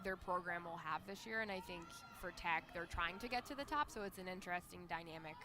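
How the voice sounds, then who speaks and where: A woman's voice: lowish voice, a little fast. A woman working as a color commentator, giving live sports analysis.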